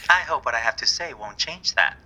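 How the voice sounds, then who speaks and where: An actor playing a smarmy, exasperated musical writer in an audio comedy, curt and melodramatic. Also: male voice, mid-range voice, lively intonation, a little fast, sharp tone, low-quality recording. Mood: bitter, exasperated. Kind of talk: audio comedy drama acting.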